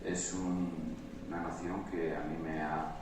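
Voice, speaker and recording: Spanish man, low slow delivery, conference speaker, hesitant, mic far off in a roomy hall with faint noise. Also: little pitch movement. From Spain. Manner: hesitant, many filler sounds, addresses audience directly. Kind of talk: live conference talk.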